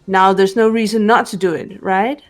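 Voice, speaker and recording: A woman voicing a cool, determined teenage detective girl in a visual novel game, measured and reserved. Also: medium pitch, fairly even intonation, close to an everyday voice. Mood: cool persuasion.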